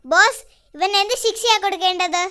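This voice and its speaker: A performer voicing a bossy, demanding, hungry tomcat henchman in a children's cartoon, with a squeaky childlike voice. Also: fairly quick, sharp tone. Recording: music behind the voice.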